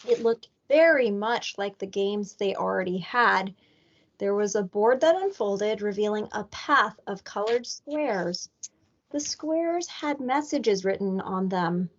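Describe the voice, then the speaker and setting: A woman reading a children's storybook aloud with deliberate, expressive delivery. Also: medium pitch. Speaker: elementary school staff member reading aloud. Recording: dull lo-fi recording.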